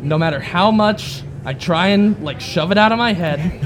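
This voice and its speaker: A man's voice: sits high, moderate pace. A man guest at a live podcast in a bar, joking dryly and poking fun at himself. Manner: dry, self-deprecating humor. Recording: live bar crowd noise.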